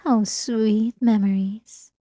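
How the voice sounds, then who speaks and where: A woman plays an obsessive, centuries-old vampire lady in an intimate ASMR audio roleplay. Her voice is dark and soft, drawn out at a leisurely pace with teasing, possessive sweetness. It sits close and very dry on the microphone. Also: medium pitch, fairly even intonation, takes it slowly, dry recording. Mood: nostalgic, mocking.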